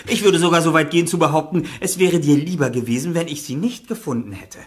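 An actor playing a confident young detective who calmly confronts someone in a radio play. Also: male voice, on the high side, fast talker, natural voice. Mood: probing. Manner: smug, interrogating.

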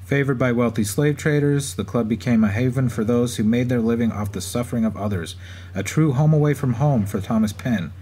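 A man's voice: medium pitch, a little fast, dark tone, breathy edge, natural voice. A man co-hosting a podcast with scripted banter and historical storytelling. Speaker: podcast co-host. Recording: faint background noise and ambience.

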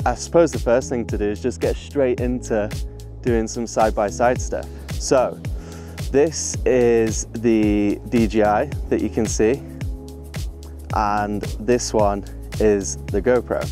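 A man's voice: fairly low pitch, fairly even intonation, slow pace, mellow, soft background music. A young man vlogging outdoors on a rocky beach with a clip-on mic, eager and rambling, laughing at himself. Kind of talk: excited casual vlog. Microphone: small clip-on mic.

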